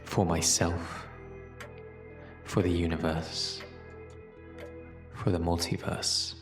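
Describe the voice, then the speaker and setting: Man acting a low, echoey, determined doctor. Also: flat delivery, takes it slowly, faint music behind. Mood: solemn, determined. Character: defiant, guilt-ridden time-travelling doctor, determined to make amends. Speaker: lead actor in fan audio drama. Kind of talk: dramatic scripted fan audio acting.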